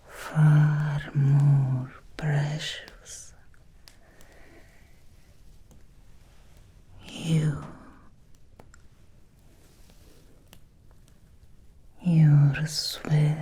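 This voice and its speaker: A woman playing an obsessed, seductive Victorian vampire in an ASMR roleplay, whispering slowly and intimately with tender menace. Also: low voice, full character voice. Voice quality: breathy soft whisper. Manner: slow, deliberate, intimate, teasing with drawn-out pauses.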